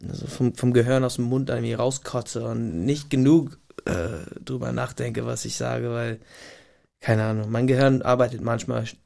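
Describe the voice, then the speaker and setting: A man from the Puget Sound area with an American accent, a radio guest speaking German as a second language, pausing often to think and restarting phrases. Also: mid-range voice, fairly even intonation, creaky, darkish tone. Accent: American English. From Puget Sound region, USA. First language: not German. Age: adult, past university. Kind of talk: casual interview talk. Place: radio studio. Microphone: studio microphone.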